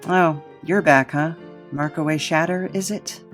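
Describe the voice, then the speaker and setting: A woman's voice: deep voice, fairly even intonation, a little slow, faint music behind. A woman playing a quirky, self-loathing drifter with a rambling, sardonic, conversational delivery in an audio drama. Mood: wry. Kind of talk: naturalistic audio drama acting.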